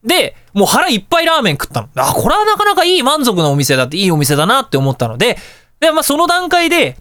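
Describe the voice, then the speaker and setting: A man hosting a radio show, telling anecdotes in a casual, chatty, animated way. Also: very high voice, fast, no echo, studio quality. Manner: animated, chatty storytelling with colloquial asides.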